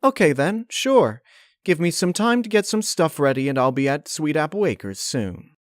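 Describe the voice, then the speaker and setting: A man's voice: on the high side, animated, normal speed, no echo, studio quality, voice pushed up, natural voice. A man voicing a sweet, apologetic young female pony in an earnest, hesitant way for a story reading. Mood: agreeable.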